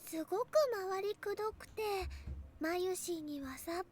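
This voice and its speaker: Casting: sweet naive teen girl for an anime game, extremely high little voice, cutesy drawn-out delivery. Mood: puzzled. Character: sweet, childlike, airy teenage girl. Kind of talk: Japanese visual novel voice acting.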